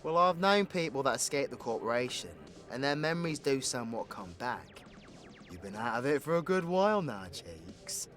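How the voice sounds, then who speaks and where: A man's voice: medium pitch, big pitch swings, moderate pace, a bit bright, soft background music. A British man playing a cocky, foul-mouthed supervillain in an audio roleplay, with a crude, playful, conversational swagger. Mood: curious, probing. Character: cocky, foul-mouthed male supervillain, orphaned, teasing his drinking partner. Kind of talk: audio roleplay addressed to the listener.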